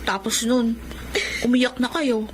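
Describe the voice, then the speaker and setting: A woman's voice: a little high, little pitch movement, takes it slowly, a little hiss or hum. A woman playing a caring, sensible young daughter in a Filipino radio drama. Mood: worried.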